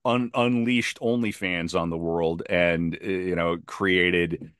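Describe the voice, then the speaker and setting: A man's voice: low voice, steady tone, slow pace, fairly bright tone, clean tone, no echo, clean studio sound. A man chatting casually on a podcast, emphatic and given to repeating his phrases for effect.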